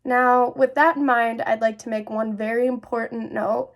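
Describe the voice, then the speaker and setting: A woman's voice: a little high, fairly even intonation, normal speed. A young adult woman speaking earnestly and confidingly, as a host sharing personal experiences. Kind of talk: personal talk to camera about mental health.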